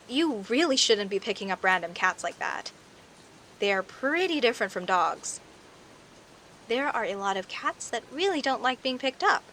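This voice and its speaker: Woman acting friendly teasing cat-cursed girl next door, slow unhurried talk, rain and faint hiss behind. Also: on the high side, pushed and bright. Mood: apologetic. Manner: teasing, indignant, conversational with sighs and pauses. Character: friendly, teasing young woman neighbor secretly cursed as a werecat. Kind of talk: audio roleplay acting addressed to the listener. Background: rain ambience.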